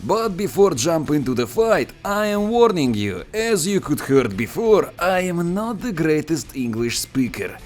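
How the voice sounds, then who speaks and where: A man's voice: measured pace, few pauses. A man with a Russian accent, a native Russian speaker, narrating a tank battle replay in a playful, joking commentary style. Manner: playful, joking, expressive with sudden pitch jumps. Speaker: gameplay commentator. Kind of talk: scripted game commentary voice-over.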